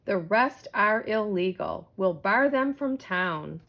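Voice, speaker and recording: A woman's voice: medium pitch, animated, slow, a bit bright, heavily acted. A woman voicing a pompous, long-winded male mayor making a speech in a children's story.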